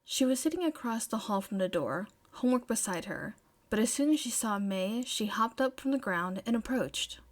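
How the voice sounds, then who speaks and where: A woman's voice: on the high side, expressive, moderate pace. A woman reading scripted fiction as a story narrator. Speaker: podfic narrator.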